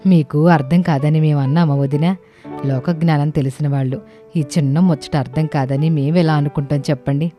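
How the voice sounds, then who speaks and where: A hospitable village mother acted by a woman in a cartoon story, given to polite banter. Her voice is low and very mellow. Music plays behind her. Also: fairly even intonation, moderate pace, lightly acted. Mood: gently teasing. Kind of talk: acted dialogue in animated comedy story. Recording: music under the voice.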